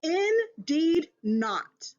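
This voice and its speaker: A woman's voice: very high-pitched, sing-song, darkish tone, dull audio, pitched above the performer's own voice, full character voice. A woman voicing a snobbish male passenger train engine in a storybook, boastful and scornful. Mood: haughty, scornful. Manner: snorting, boastful, drawn-out scornful delivery.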